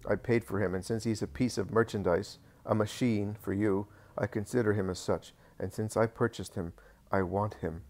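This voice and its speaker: A man voicing a stern, commanding male teacher who speaks severely and slowly. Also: on the low side, fairly even intonation, a little mellow. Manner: severe, measured.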